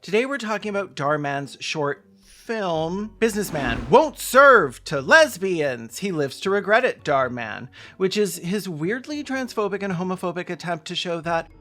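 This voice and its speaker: A commentator with a medium-pitched voice hosts a sarcastic video breakdown. The delivery is snarky and theatrical, peppered with profanity. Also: big pitch swings, measured pace, fairly bright tone. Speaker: host.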